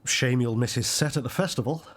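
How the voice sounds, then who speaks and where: A man's voice: medium pitch, brisk, fairly bright tone, close to an everyday voice. A man playing a roguish, wry older grandfather who takes bets, in a radio soap. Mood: wry, genial.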